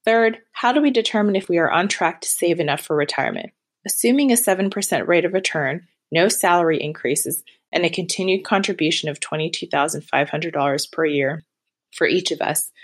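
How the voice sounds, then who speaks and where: A woman in her thirties calling in, reading out a prepared question in a clear, matter-of-fact way. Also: lowish voice, a little fast. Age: 30s. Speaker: caller.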